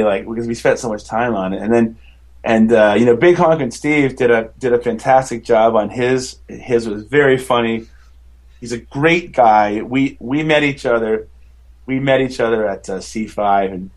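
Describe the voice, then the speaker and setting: Male baritone, lowish pitch, chatty and rambling musician guest on a podcast. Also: low-quality recording. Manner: rambling, enthusiastic, many fillers and restarts. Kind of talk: casual interview chat.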